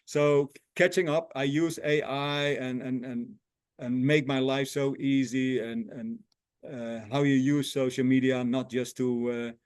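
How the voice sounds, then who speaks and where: A lifestyle coach in his sixties answers questions earnestly over a video call. He talks at a mid pitch in a dry sound, pausing and restarting as he works his way through his phrasing. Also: steady tone, darkish tone, smooth, no echo. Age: 60s. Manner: earnest, halting non-native phrasing with many restarts. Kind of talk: casual interview.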